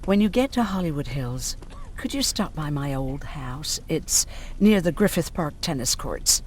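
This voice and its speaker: An actor playing a wistful, friendly civilian from a wartime shelter in a video game, hesitant and gently confiding. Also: mid-range voice, lively intonation, unhurried, slight background noise, close to an everyday voice.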